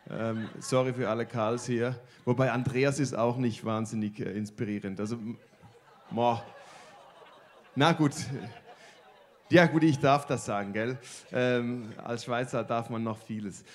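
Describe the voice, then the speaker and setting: Joking Swiss man, mid-pitched voice. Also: fairly even intonation. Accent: Swiss German. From Switzerland. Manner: jokey, teasing, halting. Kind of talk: casual joking stage interview.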